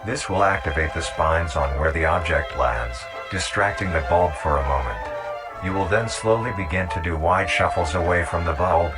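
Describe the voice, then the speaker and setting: A man delivering measured, instructional narration in the scripted style of a nature documentary. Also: deep voice. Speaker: narrator of a horror nature-guide video. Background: music.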